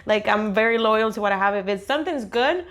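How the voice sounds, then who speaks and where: A woman's voice: medium pitch, expressive, quick pace, fairly bright tone. A young woman hosting a podcast, chatting casually with plenty of fillers and laughter. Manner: casual, lots of likes and fillers, laughs easily. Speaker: podcast host. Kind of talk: casual interview chat.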